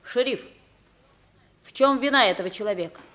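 A woman's voice: a little high, moderate pace, fairly bright tone, close to an everyday voice. An actress playing a good-hearted pauper boy posing as king, in a theatrical, earnest Soviet radio play style. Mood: commanding.

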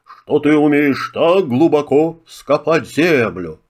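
A man voicing a big, suspicious male elephant in a filmstrip tale, speaking slowly and weightily. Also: on the high side, lively intonation. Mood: wary. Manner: slow, weighty and distrustful.

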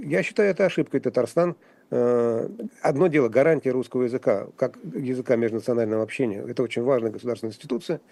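A man speaking as a guest commentator on a radio talk show, halting and wry, with frequent restarts and fillers. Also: medium pitch, gravelly, warm tone, dry recording. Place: radio studio.

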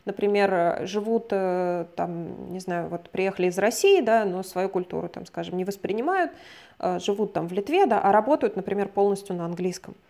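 A woman from Russia, a guest expert, explaining ideas in a measured, lecturing way and often hedging her words as she talks. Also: medium pitch, animated, gravelly, mellow. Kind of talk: explanatory conversation.

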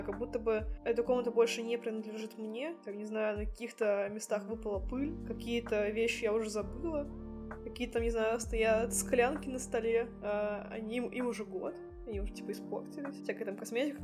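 Podcast co-host: female, twenties, fairly high voice, informal introspective storytelling, audible music bed. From Saint Petersburg, Russia. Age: 20s. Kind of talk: reflective casual chat, personal storytelling.

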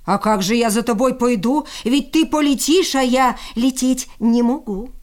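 A woman's voice: fairly high pitch, moderate pace, natural voice. A woman voicing a simple, wary peasant man from a folk tale, with a plaintive, questioning way of talking. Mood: doubtful.

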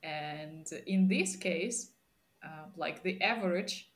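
A woman's voice: on the low side, slow pace. A woman whose first language is Russian, chatting in English and asking pointed questions. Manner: questioning, opinionated. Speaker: conversation participant. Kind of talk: casual chat.

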